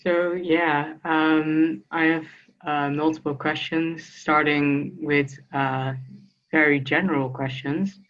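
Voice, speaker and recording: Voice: medium pitch, level tone, a bit bright. Hesitant interviewer on a muffled call. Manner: hesitant, many ums and pauses. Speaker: student interviewer. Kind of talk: conversational interview. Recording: lo-fi online call audio.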